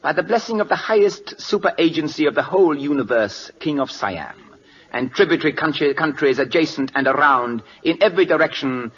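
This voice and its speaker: A man's voice: on the high side, lively intonation, brisk, fairly bright tone, slightly rough. An actor playing an imperious, inquisitive King of Siam in a radio drama, with a put-on Siamese accent and pompous, clipped broken English. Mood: curious, grandiose. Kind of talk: 1940s American radio acting. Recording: muffled old-time radio recording.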